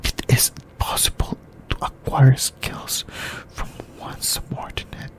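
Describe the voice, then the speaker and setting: A whispered voice speaks very slowly, as in an ASMR video. The delivery is halting and comes in short phrases, like a YouTuber softly talking through an anime discussion.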